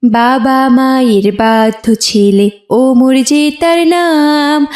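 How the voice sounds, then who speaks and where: A woman performing a theme song and promo for a children's cartoon. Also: sits high. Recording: echoey, music underneath. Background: music.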